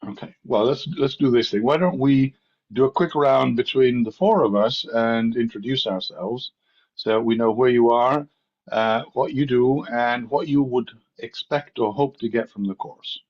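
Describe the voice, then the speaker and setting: A man's voice: mid-range voice, a little slow, muffled recording. A man leading an online course session over a video call, talking with hesitant fillers. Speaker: course instructor. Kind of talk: leading an online class discussion.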